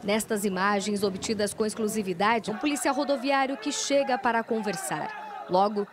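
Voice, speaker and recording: A woman reporter reading a scripted news voice-over in a measured, dramatic way. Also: mid-range voice, crowd noise.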